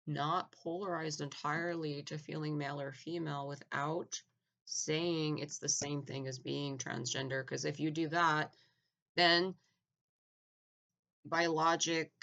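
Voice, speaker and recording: A man giving a blunt, argumentative opinion monologue, full of "like" fillers and restarts, clearing his throat now and then. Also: on the high side, bright, forceful tone. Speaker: opinion vlogger.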